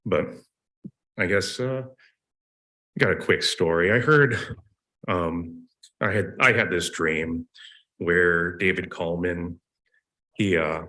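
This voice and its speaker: Hoarse low-voiced man, sarcastic. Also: slow pace, long pauses, bright, forceful tone. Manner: sarcastic, rambling storyteller. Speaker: public commenter. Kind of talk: public comment at a meeting. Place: county committee meeting room. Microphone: podium microphone.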